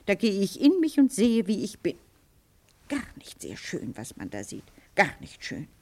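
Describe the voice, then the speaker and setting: A domineering older woman in a radio play, sharp-tongued and self-critical. Her delivery is theatrical and articulate, with pitch that swings widely from phrase to phrase. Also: huge pitch swings, a little slow, heavily acted. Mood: bitterly remorseful. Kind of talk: German radio play acting.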